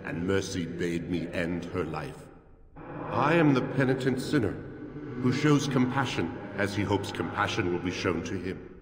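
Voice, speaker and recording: Penitent male ghost for a fantasy game: low, grave, formal, cavernous reverb. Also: lively intonation. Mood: solemn, remorseful. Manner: grave, formal, measured recitation. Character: solemn ghost of a penitent man posing riddles. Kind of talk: scripted fantasy game dialogue. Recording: long hall reverb, game ambience noise.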